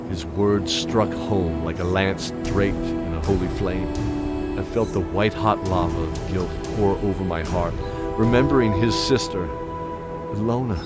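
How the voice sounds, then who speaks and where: A man acting as a weary knight captain with a low, solemn voice. He tells his story in descriptive, dramatic audio-drama style, burdened by guilt, over a clear bed of music. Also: a little slow. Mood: solemn, reflective. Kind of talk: scripted audio drama narration and dialogue.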